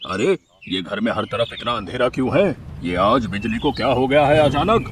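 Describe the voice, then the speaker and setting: A man's voice: medium pitch, lively intonation, normal speed, a bit bright, slight background noise, close to an everyday voice. A man voicing a panicky, fearful crow father in a cartoon, agitated and exclaiming. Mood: frightened. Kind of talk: cartoon character acting. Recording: music behind the voice.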